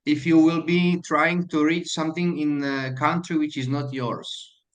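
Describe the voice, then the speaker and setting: A man with a Slovak accent answering questions in an online meeting, earnest and halting, with many fillers and restarts. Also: fairly high pitch, fairly even intonation. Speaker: guest speaker, hotel manager. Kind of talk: online Q&A talk.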